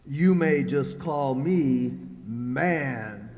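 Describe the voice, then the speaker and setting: An actor playing a sulky, jealous, self-pitying husband with a plaintive, halting delivery in radio comedy style. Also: male voice, medium pitch, expressive, a little mellow. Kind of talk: old-time radio comedy acting, re-created. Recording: echoey hall, long reverb.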